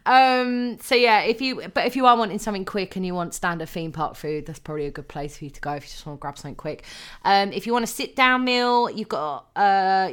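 A woman's voice: on the low side, a bit bright. A young woman co-hosting a podcast, chatting casually with many ums and frequent laughs. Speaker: podcast co-host. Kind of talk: casual chat.

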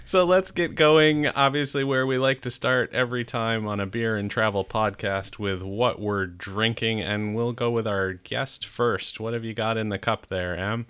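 A man's voice: on the low side, fairly even intonation, moderate pace, hardly any pauses, a bit bright, clean tone. A middle-aged man hosting a podcast in a chatty, upbeat way, with the odd restart. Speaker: podcast host. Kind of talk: casual chat.

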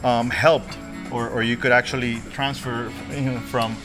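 A man hosting a podcast in casual chat, halting and repeating his words. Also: mid-range voice, level tone. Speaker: podcast host. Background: music.

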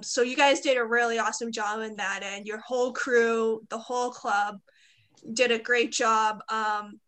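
Boston lady hosting a podcast, upbeat and chatty, kinda high voice. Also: pushed and bright. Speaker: podcast host. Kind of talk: casual interview chat.

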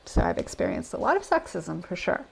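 A woman's voice: lowish voice, huge pitch swings, a little fast, vocal fry, slightly rough, low-quality recording. An American woman answering interview questions about travel in an earnest, reflective way. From United States. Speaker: podcast guest.

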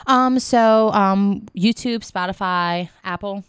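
A woman's voice: mid-range voice, takes it slowly, clear, resonant tone, dry recording, clean studio sound. A young woman with a Philadelphia accent, a podcast guest talking casually with lots of fillers and self-deprecating asides. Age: young adult. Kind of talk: casual chat. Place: small home studio.

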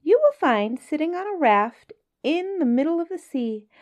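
A woman's voice: high voice, very animated, normal speed, a little mellow, dull audio, pitched above the performer's own voice. A woman voicing a small, clever fish in a storybook reading, speaking in a polite, scheming way. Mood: sly.

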